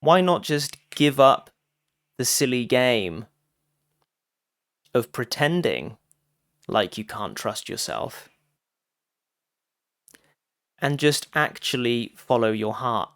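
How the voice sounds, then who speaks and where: A man giving a guided meditation talk as a teacher, phrasing deliberately with frequent pauses and addressing listeners directly. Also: mid-range voice, lively intonation, a bit bright, no room sound, studio quality.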